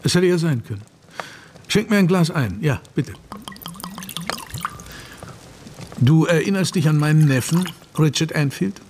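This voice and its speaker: An actor playing a thoughtful, inquiring gentleman in a radio play, measured and courteous. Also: fairly high pitch, expressive. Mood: probing. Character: thoughtful, inquiring gentleman telling a strange tale. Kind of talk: radio drama acting. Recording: faint noise and ambience behind.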